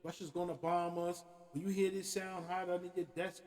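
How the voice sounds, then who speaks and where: High-voiced man ranting in an echoing hall. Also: moderate pace. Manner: animated, profane, repeats "you know what I'm saying". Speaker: podcast host. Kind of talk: opinionated casual rant. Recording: hall-like reverb.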